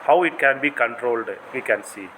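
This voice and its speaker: A man's voice: mid-range voice, fairly quick, a bit bright, slight background noise, rough recording. A man with an Indian accent giving a didactic, explanatory lecture as a college teacher, in non-native English phrasing.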